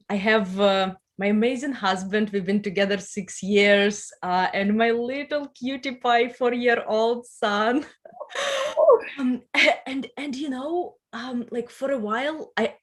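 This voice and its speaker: A woman from Ukraine with a Ukrainian accent, speaking casually as an effusive, giggly guest in an interview. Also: mid-range voice. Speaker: podcast guest, sex education coach.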